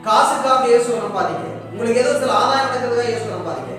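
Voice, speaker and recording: A man's voice: very high-pitched, quick pace, recorded from a distance. Guy preaching hard, emphatic and repetitive, big echoey hall w long reverb, faint music behind. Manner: exhorting, emphatic, repetitive rhetorical questioning. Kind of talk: fervent sermon to a congregation.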